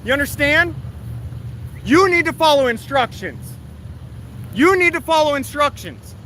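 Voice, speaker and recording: A man's voice: very high voice, sing-song, a little slow, sharp tone. A man speaking in an indignant, scolding, confrontational way as he livestreams on the street. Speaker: livestreamer filming police. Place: outdoors near a street. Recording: noisy outdoor street recording with traffic.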